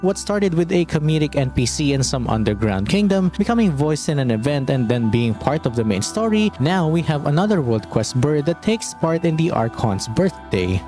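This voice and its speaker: A man with a slightly high voice narrates a scripted video essay about a game. His pitch moves in very animated swings, and he sounds conversational and opinionated. Music plays behind his voice. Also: normal speed, hardly any pauses. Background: background music.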